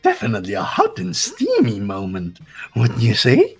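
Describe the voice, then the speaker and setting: An actor voicing a mischievous, lewd robotic teddy bear from a video game, with a raspy, gravelly voice and a teasing, theatrical manner. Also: medium pitch, huge pitch swings, normal speed, a little mellow, faint music behind, heavily acted. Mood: gleeful taunting. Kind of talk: cartoonish video game character acting.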